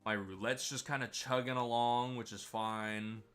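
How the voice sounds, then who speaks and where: A man streaming a game, chatty and excitable, sounding a bit stuffy from a cold. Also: medium pitch, a little slow, soft background music. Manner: chatty, excitable over wins. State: stuffy, slightly sick. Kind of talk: gameplay commentary.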